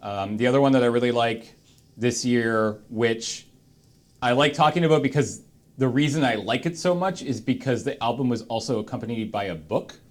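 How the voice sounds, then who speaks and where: A man hosting a panel in casual chat, thinking aloud in halting, broken fragments. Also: medium pitch. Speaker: host of a charity stream panel.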